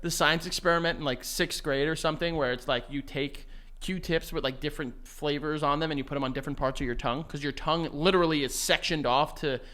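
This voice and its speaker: A man's voice: a little high, steady tone, quick pace, bright, forceful tone. A young man, bantering and swearing as a podcast co-host, laughing often. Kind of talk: casual banter.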